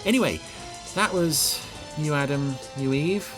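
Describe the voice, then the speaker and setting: A man's voice: a little high, measured pace. Sardonic man reviewing television, music behind. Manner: sardonic, exasperated. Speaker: podcast episode reviewer. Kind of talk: mocking scene-by-scene television commentary. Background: TV episode soundtrack with music.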